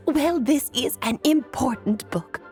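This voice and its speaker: A woman playing a playful, scheming, self-assured mage aunt in a video game, airy and teasing in a theatrical way. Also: high-pitched. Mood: coy, coaxing.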